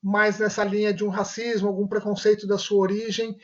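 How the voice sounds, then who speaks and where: A Brazilian man, a family physician, answering interview questions in a measured way and often restarting his phrases as he looks for words. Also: high-pitched, level tone. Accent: Brazilian Portuguese. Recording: muffled lo-fi audio.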